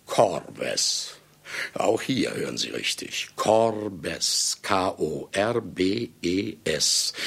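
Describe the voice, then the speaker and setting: Gravelly, low-voiced sardonic novelist. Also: male voice, a bit bright, exaggerated voice. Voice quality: gravelly, husky. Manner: sly, chuckling, ceremonious asides with drawn-out words. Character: brash, hard-drinking, celebrated male novelist, sardonic and self-mocking. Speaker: narrator addressing the audience. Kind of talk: theatrical radio play monologue.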